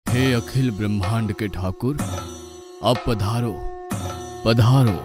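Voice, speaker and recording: Kindly divine lord for a mythological cartoon, lowish male voice actor, patient and gently coaxing. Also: takes it slowly, over loud music. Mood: devout. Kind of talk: Hindi cartoon mythological acting.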